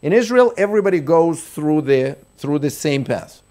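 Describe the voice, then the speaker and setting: A middle-aged man delivers a keynote from a lectern microphone in a large conference hall. His voice sits a little high for a man. He explains point by point and often stops, restarts and repeats words. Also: animated, normal speed, dark tone. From Russia. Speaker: keynote speaker.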